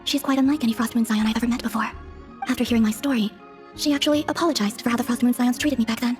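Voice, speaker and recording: A serene young moon goddess acted by a woman in a video game. The voice is fairly high and soft, with formal, detached phrasing that flows rather briskly. Faint music plays underneath. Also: fairly even intonation, close to an everyday voice. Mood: reflective. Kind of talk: video game cutscene acting.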